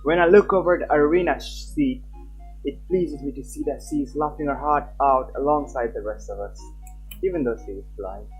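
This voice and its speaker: A man live-streaming a game, reading aloud and chatting, halting at times and stumbling over his words. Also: on the high side, low-quality recording. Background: game music.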